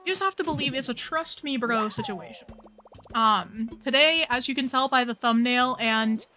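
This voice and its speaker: A woman's voice: on the high side, lively intonation, normal speed, sharp tone, soft background music. A young woman streaming a cozy game, chatting casually and bubbly with her viewers, her voice a little worn. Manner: chatty, bubbly, says "like" a lot. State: slightly losing her voice.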